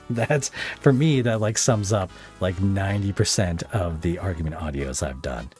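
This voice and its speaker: A man with a deep, low-pitched voice chats casually on a podcast, explaining things in an informal way. Soft music sits faintly in the background. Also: normal speed. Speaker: ASMR roleplay video creator.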